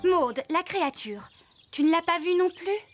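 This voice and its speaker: A woman's voice: very high voice, moderate pace. A woman voicing a curious, caring young woman farmer who takes charge in a children's cartoon. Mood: curious, puzzled. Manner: inquisitive, expressive. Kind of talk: animated children's cartoon dub. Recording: loud music behind the voice. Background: loud cartoon music.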